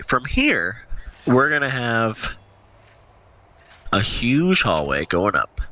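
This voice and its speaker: Stuffy guy chatting thru his game, bright punchy voice, upbeat and rambly. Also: on the low side. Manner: rambling, upbeat, thinks aloud with fillers. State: stuffy nose from allergies. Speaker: gaming channel host. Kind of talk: casual gameplay commentary.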